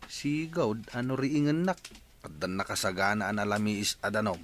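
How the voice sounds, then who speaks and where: A man's voice: on the low side, moderate pace, fairly bright tone, a little hiss or hum, lightly acted. A man playing a flustered, put-upon husband, full of exasperated exclamations, in a comic audio drama. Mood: muttering, worried.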